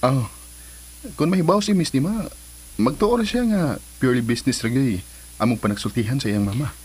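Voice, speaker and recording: A man's voice: medium pitch, normal speed, breathy edge, close to an everyday voice. An actor with a Cebuano Filipino accent playing an earnest, devoted grown son and businessman in a radio drama. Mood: reassuring.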